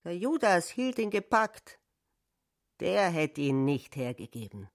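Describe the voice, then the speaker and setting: A woman's voice: fairly low pitch, sing-song, slow pace, full character voice. A woman narrating a satirical literary radio drama. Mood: pointed.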